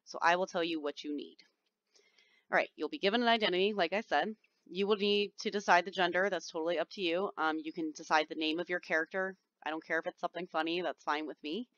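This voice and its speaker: A woman's voice: fairly low pitch, a bit bright, dry recording, highs cut off. A woman teacher with a somewhat whiny voice, recording casual step-by-step instructions for her class on her computer microphone, with frequent ums. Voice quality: whiny, nasal-sounding voice. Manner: chatty, reassuring, explains step by step with frequent ums. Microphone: computer microphone, screen recording.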